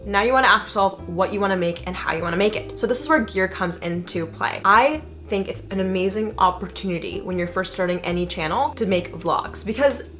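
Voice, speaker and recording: A woman's voice: medium pitch, animated, brisk, hardly any pauses, a bit bright, soft background music. A young woman giving a casual vlog tutorial to camera, chatty, slangy and emphatic. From Indonesia. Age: young adult. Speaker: vlogger and content creator.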